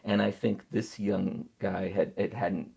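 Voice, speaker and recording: A man from Mexico in his thirties speaks casually as a museum curator. His voice sits lowish with a gravelly, husky grain. He tells stories thoughtfully and lively, often breaking into laughter. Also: unhurried. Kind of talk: casual conversation.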